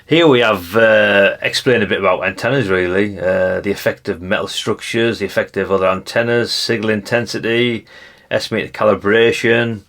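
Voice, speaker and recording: A man's voice: fairly low pitch, steady tone, normal speed, a bit bright. A man with an English accent giving a casual, unscripted show-and-tell commentary in a rambling, matter-of-fact, colloquial way. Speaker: hobbyist presenter.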